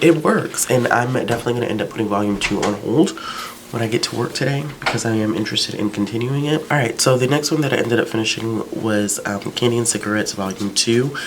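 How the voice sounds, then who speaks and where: Deep-voiced man chatting casually. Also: noisy background. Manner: chatty, relaxed, with fillers like "um" and "like". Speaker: book vlogger. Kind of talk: casual book review vlog.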